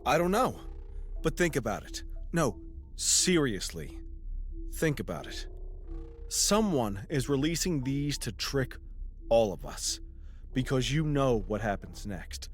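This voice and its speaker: A skeptical podcast host in an audio drama, sarcastic, pokes at people, very up-and-down delivery, midrange voice. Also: male voice, medium pitch, very animated, takes it slowly, pauses often, soft background music. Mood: speculative. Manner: sarcastic, probing. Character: needling, skeptical male podcast host, teasing and provocative. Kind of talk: naturalistic audio drama acting as podcast banter.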